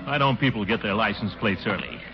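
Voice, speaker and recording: An actor playing a pompous, easily flustered middle-aged city commissioner, blustering and sputtering in a 1940s radio sitcom. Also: male voice, on the low side, fast talker, over music, close to an everyday voice. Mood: exasperated. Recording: muffled, very noisy 1940s radio recording.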